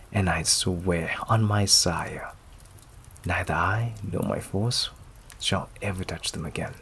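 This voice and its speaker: Man acting a deep, gravelly, possessive vampire. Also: a little hiss or hum. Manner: slow, silky, often breathy near-whisper, condescending endearments. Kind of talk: ASMR roleplay acting. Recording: steady rain ambience behind the voice. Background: rain.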